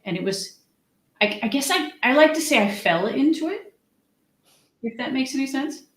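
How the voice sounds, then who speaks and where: A woman's voice: high-pitched, lively intonation, moderate pace. A middle-aged woman from the United States, a guest in a conversational interview, talking with many restarts and ums. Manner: hesitant, frequent restarts and ums. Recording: echoey room.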